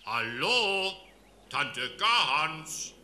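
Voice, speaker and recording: Good-natured little bear, slow halting delivery, lively pitch, noisy recording. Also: male voice, heavily acted. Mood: patient. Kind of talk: children's audio play.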